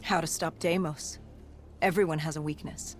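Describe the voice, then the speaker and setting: An actor playing a grim, battle-worn cybernetic soldier, recounting humanity's fall in brooding, measured game narration with a gravelly edge. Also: medium pitch, fairly even intonation, soft background music, natural voice. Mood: tense, matter-of-fact. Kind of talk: scripted dramatic game narration.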